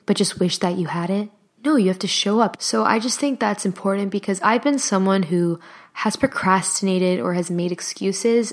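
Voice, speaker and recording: Solo podcast host, young woman, passionate and encouraging, a touch hoarse, speaking into a studio mic. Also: medium pitch. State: voice slightly hoarse. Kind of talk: motivational solo podcast talk. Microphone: studio microphone.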